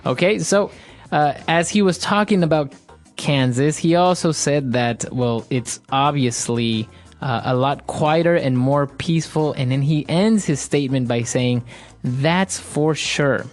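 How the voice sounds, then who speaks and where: A man's voice: fairly high pitch, unhurried, faint music behind. Ecuadorian man co-hosting a language lesson. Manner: agreeable, explanatory, frequent "that's right". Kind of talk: casual teaching chat.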